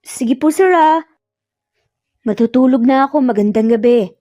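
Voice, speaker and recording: A woman's voice: a little high, moderate pace, warm tone, soft background music, close to an everyday voice. A woman voicing a flustered, embarrassed young housemaid in a story, polite and stammering. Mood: hurried, embarrassed.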